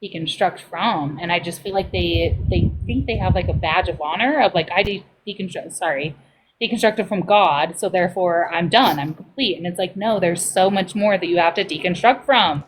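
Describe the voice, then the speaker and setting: A woman's voice: lowish voice, moderate pace, poor audio. A woman chatting casually as a guest on a livestream, insistent and opinionated. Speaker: live stream guest. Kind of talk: casual chat. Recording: clear background noise on livestream audio.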